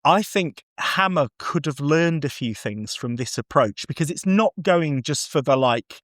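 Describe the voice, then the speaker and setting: A man's voice: a little high, expressive, measured pace, fairly bright tone, no echo. Chatty man with a dry, close sound. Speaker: podcast guest film reviewer. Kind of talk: casual, chatty film discussion.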